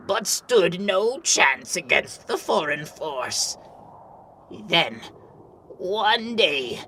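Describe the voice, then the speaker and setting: A man voicing an old, grave grandmaster of an ice nation in an animated fantasy, solemn and melodramatic. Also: high voice, slight background noise, heavily acted. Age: older. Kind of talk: animated fantasy drama acting.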